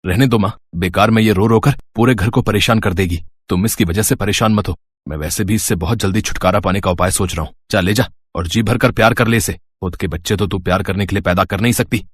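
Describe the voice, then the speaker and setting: A man's voice: lowish voice, a little fast, no echo, clean studio sound, natural voice. A man voicing a resentful, callous husband who complains with contempt in a family morality story. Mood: contemptuous.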